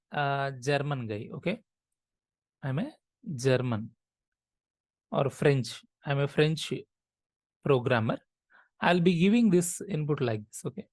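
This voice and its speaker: A woman's voice: low voice, no room sound. A woman teaching a programming lesson, explaining step by step and repeating key phrases. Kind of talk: lecture.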